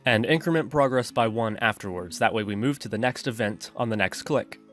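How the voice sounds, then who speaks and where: A man's voice: lowish voice, brisk. A man narrating an instructional screencast as a tutorial host, explaining methodically step by step. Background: background music.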